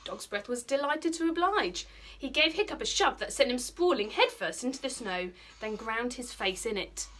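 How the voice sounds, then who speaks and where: A British woman in her thirties, a primary teacher, reading a children's story aloud in an expressive, explanatory way. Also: fairly high pitch, normal speed. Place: small bright indoor room by a window.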